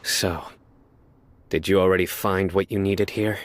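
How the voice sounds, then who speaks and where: A stern young judge in a game, his voice low-pitched and his lines clipped and unyielding. He speaks curtly and firmly. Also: fairly even intonation, a little slow, slight background noise. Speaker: video game character. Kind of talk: game cutscene voice acting.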